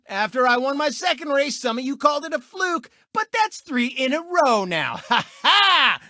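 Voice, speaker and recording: An actor voicing a cocky, boastful talking race car who hosts a sports show in a video game, with smug, showy, mocking commentary. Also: male voice, very high voice, sharp tone, no room sound, studio quality, heavily acted. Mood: gloating, taunting. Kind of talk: animated sports commentary and taunting.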